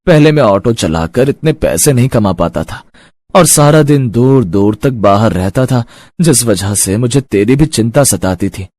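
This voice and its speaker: A man's voice: mid-range voice, expressive, unhurried, darkish tone, no room sound. A man playing a caring middle-aged father, a humble rickshaw driver in a cartoon story, earnest and reassuring. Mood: grateful, earnest. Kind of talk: Hindi moral cartoon story acting.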